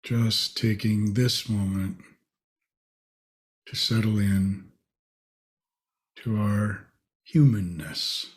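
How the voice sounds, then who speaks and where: A man leading a guided meditation, speaking in short, spacious phrases with long pauses. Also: fairly low pitch, steady tone.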